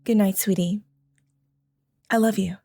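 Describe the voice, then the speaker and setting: A woman's voice: medium pitch, steady tone, unhurried, dark tone, dry recording, faint music behind. A woman playing an affectionate mother in an audio drama bedtime scene. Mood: loving.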